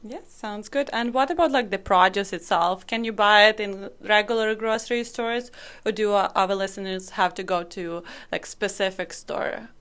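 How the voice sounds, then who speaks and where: A woman's voice: mid-range voice, normal speed, fairly bright tone, clean tone. A young woman from Ukraine whose first language is Ukrainian, co-hosting a casual podcast in an upbeat way with small grammar slips. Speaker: podcast co-host.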